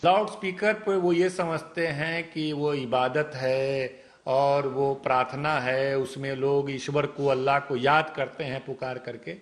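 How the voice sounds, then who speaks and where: A man's voice: mid-range voice, steady tone, measured pace. A man giving an explanatory sound bite to the media in flowing, connected clauses.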